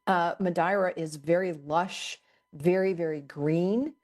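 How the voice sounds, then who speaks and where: A woman's voice: lowish voice, a little slow, darkish tone. A woman guest on a casual podcast, good-humored and teasing as she describes a dive trip. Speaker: magazine contributor, guest.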